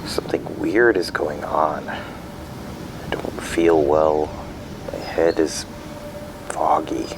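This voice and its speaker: Man acting bewildered middle-aged father, very low voice, halting delivery, loud rumbling background noise. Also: fairly even intonation, exaggerated voice. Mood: weary, confused. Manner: halting, hesitant, trailing off. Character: anxious, isolated, bewildered father leaving voicemails. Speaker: actor in a fiction podcast. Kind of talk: naturalistic audio drama phone monologue.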